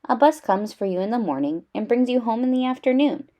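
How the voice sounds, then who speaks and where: A woman's voice: fairly high pitch, animated, brisk, muffled recording, pitched above the performer's own voice, lightly acted. A woman voicing a kindly, patient mother bear in a children's storybook, with a coaxing and encouraging manner.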